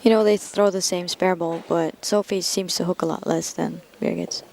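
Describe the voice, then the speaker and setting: A woman's voice: lowish voice, steady tone, moderate pace, creaky, a little mellow. A woman doing live sports commentary at a bowling event, chatting casually in a giggly, enthusiastic, rambling way. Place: bowling championship venue. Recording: noisy hall, crowd behind. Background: bowling crowd.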